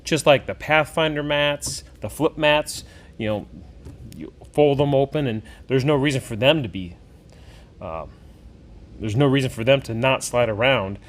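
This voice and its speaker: Male product-review host, mid-pitched, conversational, thinks aloud while demonstrating. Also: a little slow. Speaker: host of a tabletop gaming product review channel. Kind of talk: casual hands-on product review.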